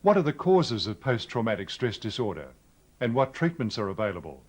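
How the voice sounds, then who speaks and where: Aussie guy presenting an educational video, scripted and measured, medium pitch, pretty lively up and down. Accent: Australian. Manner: measured, explanatory.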